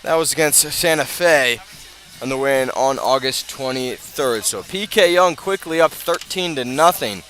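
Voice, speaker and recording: Bright-voiced American man calling live football. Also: medium pitch, soft background music, poor audio. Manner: measured scene-setting, rising to urgent calls. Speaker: play-by-play sports announcer. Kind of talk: live radio football commentary.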